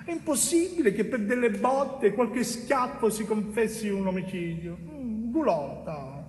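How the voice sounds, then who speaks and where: A dismissive prosecutor, high and drawn-out. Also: male voice, lively intonation, darkish tone. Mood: condescending, insistent. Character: dismissive, impatient male prosecutor pressing a prisoner. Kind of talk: dramatic theatre monologue. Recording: echoey hall, distant mic, faint noise.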